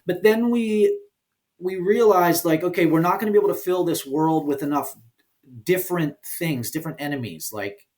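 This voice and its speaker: Higher-pitched man chatting in an interview. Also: normal speed, darkish tone. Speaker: guest, indie game developer and producer. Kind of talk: casual interview talk.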